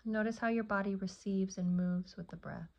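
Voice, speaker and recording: A woman leading a guided meditation as a yoga nidra teacher, speaking in a soothing, unhurried way with long pauses between short phrases. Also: mid-range voice, steady tone, darkish tone, muffled recording.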